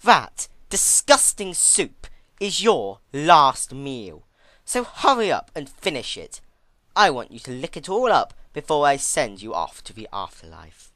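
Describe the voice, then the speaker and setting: A performer voicing a cruel, cold female pony tormentor with menacing, drawn-out emphasis in a fan fiction reading. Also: mid-range voice, sing-song, bright, forceful tone, dry recording, voice pushed up. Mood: gloating menace.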